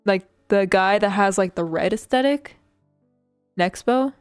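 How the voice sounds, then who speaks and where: A woman chats casually as she builds a model kit, drifting between thoughts with plenty of fillers and self-mocking giggles. Her voice sits at an ordinary middle pitch. She sometimes turns away from the desk mic, and soft music plays faintly behind her. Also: measured pace, clean tone. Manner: rambling, filler-heavy, giggly, self-deprecating. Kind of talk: casual chat while building, reading chat.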